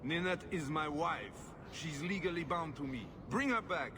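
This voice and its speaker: A man's voice: fairly high pitch, moderate pace, noisy background, lightly acted. A man voicing a pompous, self-pitying nobleman in a video game, haughty and given to indignant complaint. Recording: music behind the voice.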